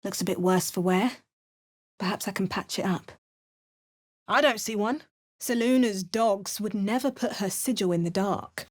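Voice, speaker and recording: A woman's voice: mid-range voice, normal speed, close to an everyday voice. A woman voicing a self-assured young adventurer who delivers game voice lines with dry, wry asides. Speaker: player character adventurer.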